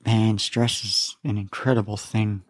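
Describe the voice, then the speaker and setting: A man hosting a podcast, relaxed and affirming in casual conversation. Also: on the low side, measured pace, no room sound. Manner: relaxed, affirming, many mm-hmms. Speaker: podcast host.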